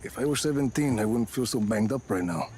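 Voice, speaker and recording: A man acting a gruff, distrustful, battle-weary action hero in a video game, speaking in terse, dry, sardonic lines. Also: medium pitch, expressive, brisk, a little mellow, slight background noise, close to an everyday voice. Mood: dry banter.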